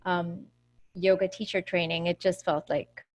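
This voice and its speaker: A woman's voice: on the low side, fairly even intonation, a little slow. A woman from India in her thirties, a yoga teacher talking casually over a video call, laughing easily between fillers.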